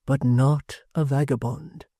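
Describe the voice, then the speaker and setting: A reader doing a kindly, earnest old-fashioned locksmith. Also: male voice, mid-range voice, steady tone, takes it slowly, no room sound, studio quality, lightly acted. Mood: gentle. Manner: gentle, earnest, lingering. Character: kindly middle-aged man, a locksmith.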